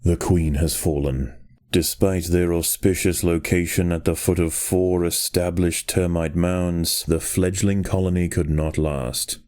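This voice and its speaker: A man reading scripted nature documentary narration for a game in a measured, grave storytelling manner. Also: low voice, fairly even intonation, mellow.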